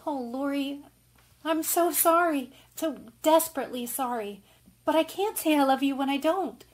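A woman's voice: high voice, normal speed, lightly acted. A woman playing a spirited, tomboyish young woman, earnest and dramatic, in an old-time radio drama. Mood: gentle, sorrowful.

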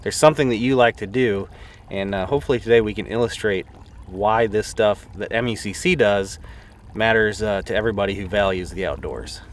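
A man's voice: fairly low pitch, fairly quick, a little hiss or hum. A man in his thirties hosting an outdoor show, casually explaining things while fishing from a boat on a lake. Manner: easygoing, explanatory, with fillers.

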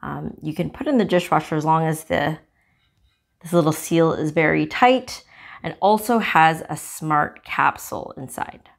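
A woman with a fairly low voice talks through a cooking gadget at her kitchen counter. She is relaxed and chatty, with little fillers, and shows each part as she goes. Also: measured pace, gravelly. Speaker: cooking channel host. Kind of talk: casual product demo.

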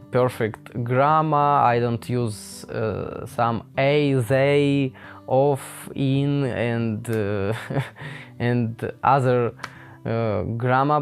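Slow, halting young man, Ukrainian accent. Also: mid-range voice. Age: young adult. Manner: halting and hesitant, with many pauses and fillers. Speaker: vlogger. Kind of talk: unscripted casual talk to camera. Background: faint guitar music.

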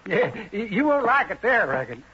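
An old small-town codger, with a high-pitched, husky voice. He is laconic and stubbornly literal, a deadpan folksy figure from a 1950s radio comedy, heard on a dull vintage recording. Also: brisk, heavily acted. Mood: dry, deadpan. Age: older.

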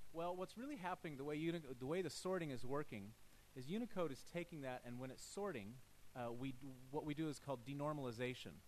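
A man's voice: medium pitch, low-quality recording. Middle-aged guy at a lectern mic doing a conference demo, casual, keeps restarting sentences, bit of hiss. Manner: casual, thinking aloud with frequent restarts. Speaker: conference presenter. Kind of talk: technical talk with live demo. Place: lecture hall, at a lectern.

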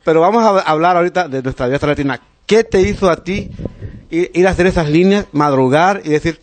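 A man's voice: a little high, fast, a little hiss or hum. A middle-aged Salvadoran man with a Salvadoran Spanish accent, hosting a casual interview in an effusive, playful way on a handheld microphone. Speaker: interviewer and show host. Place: small decorated room indoors.

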